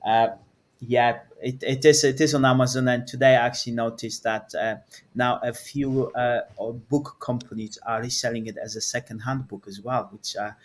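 A man's voice: mid-range voice, steady tone, normal speed. A man with a Polish accent talks through a webinar on a video call. He speaks in a casual, hesitant way, with plenty of fillers and restarts. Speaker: webinar co-host.

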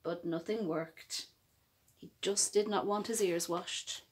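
A woman reading a picture book aloud as a storyteller, with expressive, emphatic phrasing for young children. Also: lowish voice, slow pace, slight background noise.

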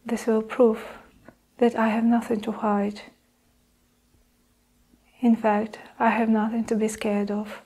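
A young woman playing a cold, scheming evil nurse in an improvised ASMR roleplay, soft-spoken and deliberate, often slipping into whispers. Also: fairly high pitch, flat delivery, breathy edge, heavily acted, low-quality recording. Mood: cold, defensive. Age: young adult.

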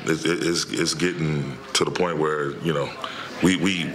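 Very deep, flat-voiced coach at a presser. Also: male voice, background noise. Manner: halting, many restarts and fillers. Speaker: head coach. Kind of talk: postgame press conference answers. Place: press room in front of a logo backdrop. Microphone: table microphone.

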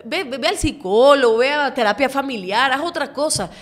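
A woman's voice: high voice, moderate pace. A woman, giving sarcastic, emphatic and indignant political commentary as a host. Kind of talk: opinionated political commentary to camera. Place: small furnished indoor studio. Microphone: desk condenser microphone, close.

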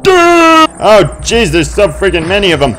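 A man in his twenties doing casual, excitable gameplay commentary full of exclamations and mild crude language. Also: sits high, brisk, soft background music. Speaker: gaming video host.

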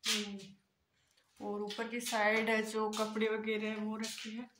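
A woman's voice: medium pitch, monotone, slow pace, background noise. A young woman filming herself on a phone at arm's length, chatting casually to viewers as she walks through an old empty house. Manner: chatty, leans on filler phrases, talks to viewers as friends. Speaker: vlogger giving a house tour. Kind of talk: casual walking house tour. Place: old empty brick house, indoors and outdoors. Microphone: phone held at arm's length.

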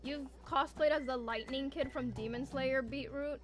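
High-pitched woman, playful livestreamer. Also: fairly even intonation, moderate pace, faint music behind. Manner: playful, teasing, theatrical emphasis. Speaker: VTuber game streamer. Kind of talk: casual livestream chat, reacting to viewers' messages.

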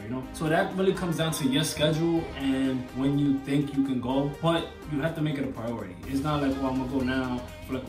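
Young male vlogger, relaxed rambling delivery, slightly high voice, recorded from a distance in an echoey room. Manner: loose and chatty, many fillers and restarts. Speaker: fitness vlogger answering viewer questions. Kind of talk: casual advice talk to camera. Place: cold enclosed porch with plants and a couch. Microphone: far camera on a tripod. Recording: roomy, from off the mic, faint music.

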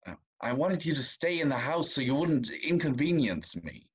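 Cheating husband, nervous and guilt-ridden, young male actor, medium pitch, dull webcam sound, stage drama. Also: sing-song, moderate pace, husky. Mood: guilty, confessing. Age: young adult. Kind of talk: stage acting in a video-call play. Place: small room indoors. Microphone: video call, webcam. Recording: dull lo-fi video-call audio.

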